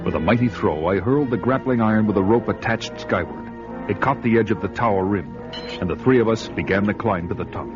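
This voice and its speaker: A man's voice: on the low side, lively intonation, fairly quick, close to an everyday voice. An actor playing a bold barbarian hero, narrating his adventure in grandiose, dramatic radio style. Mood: ominous, awed. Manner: grandiose, storytelling. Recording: dull, band-limited radio recording, music behind.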